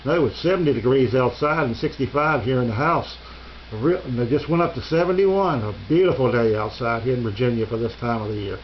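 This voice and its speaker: Halting American man, dull lo-fi sound. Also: mid-range voice. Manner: halting, rambling, folksy and polite. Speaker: amateur radio hobbyist giving a tour. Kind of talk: casual unscripted show-and-tell narration. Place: radio room at home. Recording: dull lo-fi home video, faint noise.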